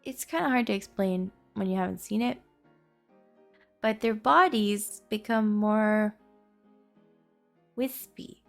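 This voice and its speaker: A woman acting an affectionate, playful young girlfriend in an intimate audio roleplay, speaking softly and chattily. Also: mid-range voice, gravelly. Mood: explaining, hesitant. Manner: soft, tender, chatty with teasing asides.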